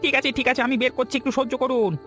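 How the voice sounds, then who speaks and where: A man voicing a kind, hardworking village woodcutter husband in a Bengali cartoon story, earnest and soft-hearted. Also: very high-pitched, fairly even intonation, fast, soft background music. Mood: urgent, caring.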